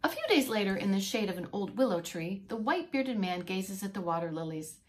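A woman's voice: medium pitch, brisk. A woman around her forties, a preschool teacher reading a picture book aloud expressively and explaining things to young children. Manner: expressive, stopping to explain words. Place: small indoor room with bookshelf and whiteboard.

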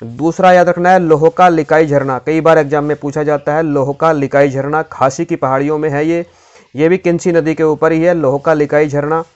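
A man's voice: fairly high pitch, fairly even intonation, normal speed, lo-fi sound. A man teaching an exam-prep geography lesson over a map, repeating key facts and quizzing the viewer.

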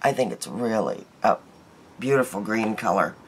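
A middle-aged woman vlogging her daily makeup in a small bedroom, chatty and homey, her voice hoarse from a cold. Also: extremely low pitch, animated, moderate pace. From United States. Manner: chatty, homey, laughs easily, trails off. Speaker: beauty vlogger. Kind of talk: casual daily makeup chat to camera.

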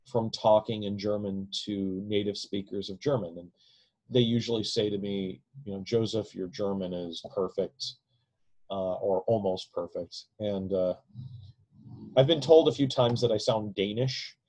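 A man in his forties lectures casually from a room at home, talking straight into a close webcam. His voice sits a little low, and he wanders into asides and tags his points with "right?". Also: darkish tone. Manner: discursive, frequent "right?" tag questions. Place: home room with bookshelves, indoors. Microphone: webcam at close range.

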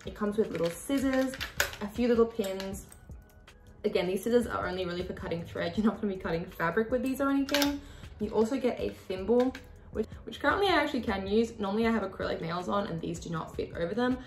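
A woman's voice: medium pitch, fast talker, echoey room, background noise. A woman, chatting to camera as she hosts a sewing tutorial from her desk. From Australia. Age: 20s. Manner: chatty, expressive hand gestures. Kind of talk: casual tutorial talk to camera. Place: small room at a desk with a sewing machine.

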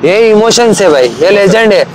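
A young man from Gujarat, a cricket fan, talking eagerly and haltingly in a casual outdoor street interview. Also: high voice, expressive, a little hiss or hum, rough recording. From Gujarat, India. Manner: eager, halting, with many restarts.

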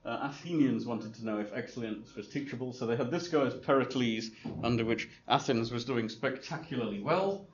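A man's voice: medium pitch, a little fast, few pauses. A man lecturing to a class in a loose, rambling way, full of ums and restarts, with a dry humor. He sounds distant and roomy, as if caught from across a hall. The recording is dull and lo-fi, with a faint hiss. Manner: rambling, hesitant, many fillers and restarts. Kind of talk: informal lecture with slides.

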